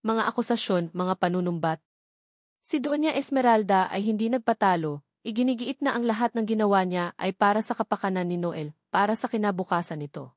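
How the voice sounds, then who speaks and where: Female audiobook narrator for melodrama: mid-pitched, scripted dramatic delivery, dry close sound. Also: a little fast, dry recording.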